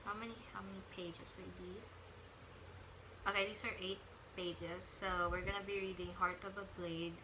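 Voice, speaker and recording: A woman's voice: mid-range voice, noisy background. A young woman vlogging as she reads online stories aloud, rambling and stumbling over words with chatty asides. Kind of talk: casual chat mixed with reading aloud.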